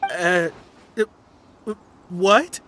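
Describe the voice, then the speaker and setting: Nervous game townsman: slow, stammering, lively pitch, jumpy. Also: a little hiss or hum. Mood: flustered. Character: nervous superstitious young townsman, easily spooked.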